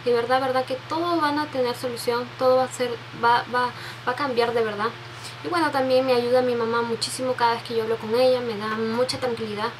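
A woman, chatting softly and answering viewer questions into a webcam, with many restarts. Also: a little high, steady tone, fast, noisy background. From Peru. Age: 20s. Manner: soft, sentimental, many restarts and fillers, reads out questions. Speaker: beauty vlogger. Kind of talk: casual Q&A tag video. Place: bedroom with makeup shelves, indoors. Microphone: webcam at desk.

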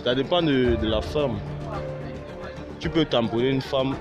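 A man's voice: mid-range voice, unhurried, lo-fi sound. A young man, answering a street interview outdoors with brash confidence. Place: outdoor campus courtyard. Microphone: interviewer's phone held out. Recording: loud background music.

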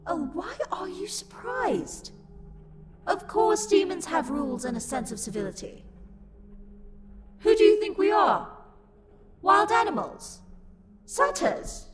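A woman's voice: very high-pitched, sing-song, slow pace, pauses often, slight background noise, heavily acted. A woman voicing a livid, haughty tsundere demon in a scripted roleplay audio, condescending and scornful, with theatrical sighs. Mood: outraged, loud.

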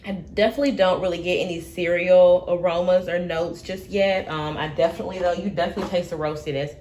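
A young woman with a slightly low voice talks through a coffee tasting in her kitchen, relaxed and unhurried, often pausing on fillers. The recording comes from a camera set in front of her, with a faint hiss in the background. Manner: relaxed, thinking aloud with many fillers. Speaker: coffee vlogger. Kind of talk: casual tasting commentary. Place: home kitchen, indoors.

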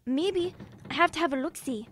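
A woman's voice: high voice, moderate pace, background noise, natural voice. A woman playing a plucky, eager wartime boy in an audio drama, speaking. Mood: hushed, urgent.

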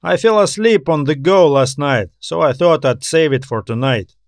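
A man's voice: fairly high pitch, normal speed, no echo, studio quality, close to an everyday voice. A man voicing a friendly, inquisitive male space station worker in audiobook dialogue, casual and questioning. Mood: puzzled, friendly.

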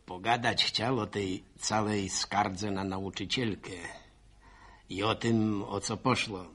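A man's voice: lowish voice, steady tone, slow, a bit bright, full character voice. An actor playing a shrewd, folksy countryman, telling things wryly in a vintage radio serial. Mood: wry, musing. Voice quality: creaky, husky. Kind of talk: 1960s radio serial acting. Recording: vintage 1960 radio recording.

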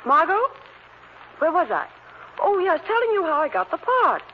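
An actress playing a vain, excitable young stage beauty in an old-time radio drama. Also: female voice, extremely high pitch, moderate pace. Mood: excited, self-pleased. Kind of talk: 1940s radio drama acting. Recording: muffled, noisy old radio recording.

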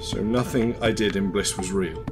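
Radio drama lead male actor: sceptical, shaken everyman just awakened, medium-pitched voice, clear music bed. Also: normal speed, close to an everyday voice. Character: shaken, sceptical man newly awakened from brainwashing.

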